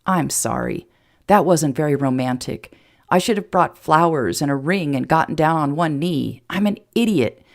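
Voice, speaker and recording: A woman's voice: low voice, expressive, normal speed, gravelly. A woman voicing an earnest, lovestruck, plain-spoken small-town sheriff in an audiobook romance, fond and a little exasperated. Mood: apologetic, earnest. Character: earnest, blunt, lovestruck adult male sheriff turned rancher.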